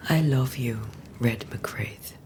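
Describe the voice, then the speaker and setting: An actress playing a resolute, stern woman who banishes ghosts in a video game, speaking with measured firmness. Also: rumbling low, darkish tone, slight background noise. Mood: serious, tender. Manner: measured, firm. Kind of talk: dramatic video game acting.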